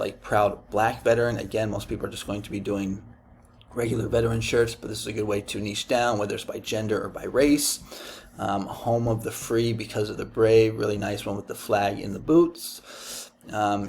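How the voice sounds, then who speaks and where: A man's voice: lowish voice, a little mellow, a little hiss or hum. A man with a Dominican accent hosting a casual screen-share tutorial, chatty and rambling with many ums and restarts. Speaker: YouTube host teaching print-on-demand design.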